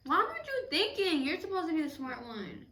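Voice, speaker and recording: A woman's voice: high voice, wildly swooping pitch, a little fast, bright, forceful tone. A young woman co-hosting a vlog, bantering casually with playful, teasing interjections. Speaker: vlog co-host. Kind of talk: casual banter during a mukbang. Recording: roomy echo, distant mic, some noise.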